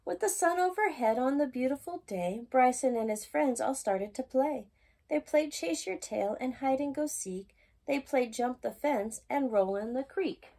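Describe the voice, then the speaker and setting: Woman, storytime host, high-pitched, husky edge, expressive singsong read-aloud, children's rhyming picture book. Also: measured pace.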